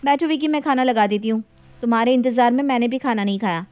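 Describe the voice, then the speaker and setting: Woman acting high-voiced, assertive young wife. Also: quick pace, a little mellow, clean tone, no room sound, lightly acted. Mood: concerned. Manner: scolding, assertive. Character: sensible, independent young wife, firm and exasperated.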